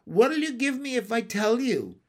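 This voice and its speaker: A man voicing a big old bullfrog for a storybook, raspy, sly and taunting. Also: extremely high pitch, very animated, unhurried, pitched above the performer's own voice, exaggerated voice. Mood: sly, mocking. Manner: taunting, snickering. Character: big old male bullfrog, gloating, sly, mocking bully. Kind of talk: animated storybook character reading.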